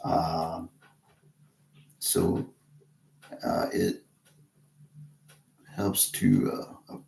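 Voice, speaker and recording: A man from Texas talking casually to camera in a halting way, with many restarts and pauses. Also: low-pitched, fairly even intonation, gravelly, darkish tone. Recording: roomy echo.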